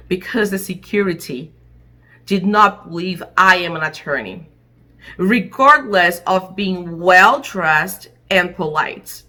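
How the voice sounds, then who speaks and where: A woman who is an attorney and an immigrant, giving an impassioned personal statement in English, her second language, in short, deliberate, emphatic phrases. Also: medium pitch, pushed and bright. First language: not English.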